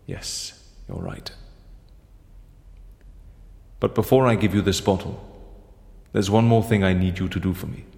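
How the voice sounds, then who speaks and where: An actor playing a cunning, chatty prisoner with a genteel, playful manner in an audio drama. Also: male voice, low-pitched, steady tone, normal speed, vocal fry, a little mellow. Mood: subdued, polite. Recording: long reverberant hall.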